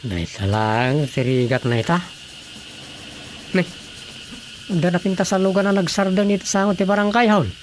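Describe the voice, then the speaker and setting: An actor playing a talkative, easygoing, sympathetic village councilor in a radio drama comedy, with an Ilocano Filipino accent. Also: male voice, sits high, normal speed, long pauses, a little hiss or hum, close to an everyday voice. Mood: sympathetic, polite.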